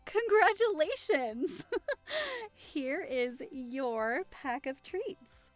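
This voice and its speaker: Casting: woman as cheerful Halloween party hostess, welcoming and playful, high voice with a leisurely pace. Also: very animated, drawn-out words, faint music behind, heavily acted. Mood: excited, festive. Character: cheerful, teasing woman hosting a Halloween party, a devoted friend. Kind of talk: audio roleplay acting.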